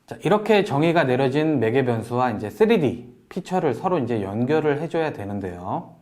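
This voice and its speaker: A man presenting a software tutorial in a polite, explanatory way, walking through each step as an instructor. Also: medium pitch, very animated, moderate pace. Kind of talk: software tutorial narration over screen demo.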